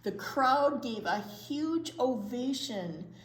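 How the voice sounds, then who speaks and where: Slow, expressive woman reading to young children. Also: sits high. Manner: expressive, drawn-out emphasis. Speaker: children's library storytime co-host and reader. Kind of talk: read-aloud storytelling for young children. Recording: echoey room.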